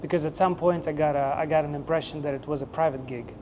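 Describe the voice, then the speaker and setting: A middle-aged man hosting a video and asking interview questions in a measured way, on a lapel mic. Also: fairly high pitch, fairly even intonation, darkish tone, slight background noise. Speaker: host, interviewer. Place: outdoors by a park path.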